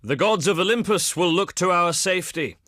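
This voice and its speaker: A proud, cultured Greek statesman of antiquity for a video game. His delivery is highly animated, with a fairly high male pitch and a close, dry sound. He speaks formally and grandly, with wry, pompous flourishes. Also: fairly quick, no room sound. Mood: disapproving, regretful. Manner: pompous, flowery, formal oratory. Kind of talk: theatrical game diplomacy voice lines.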